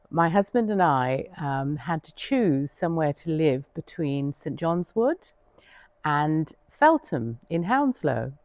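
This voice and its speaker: A woman's voice: lowish voice, no room sound, dull audio. A woman from Jamaica who came to England when young, telling her personal story in an interview. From born in Jamaica, came to England when young. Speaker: local resident interviewee.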